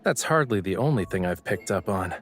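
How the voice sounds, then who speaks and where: A man's voice: low-pitched, expressive, brisk. A man playing a courteous, teasing young painter in an audio drama, with music behind him. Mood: teasing, probing. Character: young male painter, courteous, teasing, coolly puzzled gentleman.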